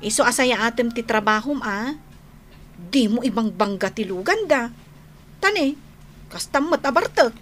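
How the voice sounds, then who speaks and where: An actress playing a caring, admonishing wife in a radio drama. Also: female voice, fairly high pitch, lively intonation, normal speed, long pauses, fairly bright tone, a little hiss or hum.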